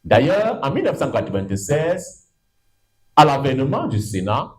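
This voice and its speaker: Lively Cameroonian man lecturing law. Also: unhurried. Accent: Cameroonian French. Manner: lively and digressive, pitch leaping up for emphasis, stretching words. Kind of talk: university lecture. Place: lecture room.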